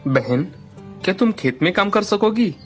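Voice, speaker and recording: A woman's voice: mid-range voice, lively intonation, moderate pace, soft background music, pitched below the performer's own voice, close to an everyday voice. A woman voicing village men in a children's storybook tale, speaking plain, firm dialogue. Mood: kind, practical. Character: village men: helpful farmer brother, greedy rich headman.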